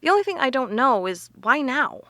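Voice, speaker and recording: An actress playing a serious, analytical investigator in an audio drama, explaining earnestly. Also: female voice, high voice, very animated, brisk, fairly bright tone, no echo, clean studio sound. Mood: serious puzzling. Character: serious, analytical woman investigator piecing clues together.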